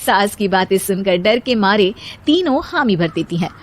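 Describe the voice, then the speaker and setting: A woman's voice: mid-range voice, lively intonation, moderate pace, noisy background. A woman narrating a scripted moral bedtime story as the storyteller.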